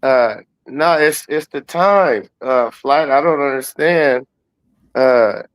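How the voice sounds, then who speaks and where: A man's voice: mid-range voice, slow pace. A man giving his opinions on sports in casual, slangy, profane talk, often repeating words to make his point. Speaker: guest commentator.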